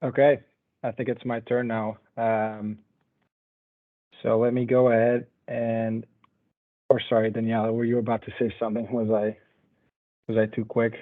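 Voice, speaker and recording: A man in his twenties from Portugal, giving a relaxed, conversational talk in an online lecture. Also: medium pitch, normal speed, pauses often. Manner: relaxed, conversational, loosely paced. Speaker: panel speaker. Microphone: video call. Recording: tinny phone-like video call audio.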